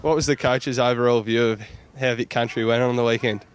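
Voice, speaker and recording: A man's voice: medium pitch, steady tone, fairly quick, smooth. Australian man reporting sports news. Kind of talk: scripted sports news report with casual interview questions.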